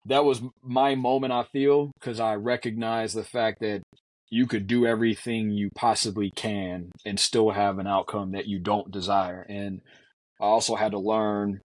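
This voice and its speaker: A man in his forties from Houston, a physician guest telling a story in an earnest, measured way with frequent fillers. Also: on the low side, dry recording. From Houston, Texas. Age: 40s. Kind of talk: reflective conversational storytelling.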